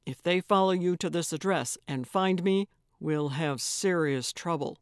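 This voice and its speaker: A woman's voice: lowish voice, measured pace, clear, resonant tone, no room sound, clean studio sound, close to an everyday voice. A woman voicing a commanding, secretive man in an audiobook, terse and tightly controlled as the figure gives urgent orders. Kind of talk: audiobook character voice.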